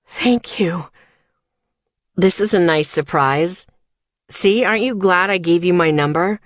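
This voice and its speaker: A woman voicing a calm, sure, smooth-talking man who comes to the rescue in an audiobook. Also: lowish voice, a little slow, dry recording, pitched below the performer's own voice, close to an everyday voice. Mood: smooth, pleased. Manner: smooth, reassuring. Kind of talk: audiobook character dialogue.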